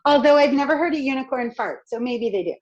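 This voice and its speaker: Raspy, outgoing woman guest. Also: high-pitched, quick pace, lo-fi sound, crowd noise. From Canada. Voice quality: raspy, crackly voice. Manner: outgoing, expressive, laughs often. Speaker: podcast guest, speaker and author. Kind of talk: upbeat conversational interview.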